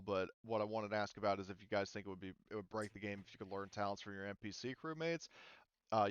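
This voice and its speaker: A man with a clear, smooth voice leads a casual tabletop planning talk as game master. He is informal, swears freely and tells stories in an animated way. The sound is dry and close, a little dull, like voice chat. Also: fairly low pitch, no echo. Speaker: game master running a group planning session. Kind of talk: casual group discussion of tabletop game rules.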